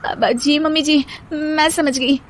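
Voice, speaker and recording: A woman's voice: high voice, fairly even intonation, takes it slowly, pitched above the performer's own voice. A woman voicing a proud, argumentative eldest daughter-in-law in a cartoon story, insistent and theatrical. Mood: meek. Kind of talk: cartoon story character acting.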